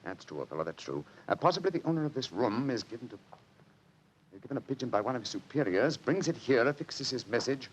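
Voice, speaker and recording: A shrewd, self-assured gentleman detective played by a male actor, speaking at a medium pitch. It is classic radio drama acting on an old, clearly noisy recording. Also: very animated, fast talker, natural voice. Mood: deducing.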